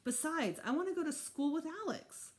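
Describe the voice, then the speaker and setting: Woman reading as an indignant schoolboy, animated pitch. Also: normal speed. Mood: protesting. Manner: protesting, exasperated. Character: indignant sixth-grade boy, put-upon big brother.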